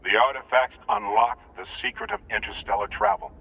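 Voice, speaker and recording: An actor playing a grave space explorer in a video game cutscene. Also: male voice, mid-range voice, sing-song, moderate pace, gravelly, sharp tone, husky, highs cut off, heavily acted. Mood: solemn.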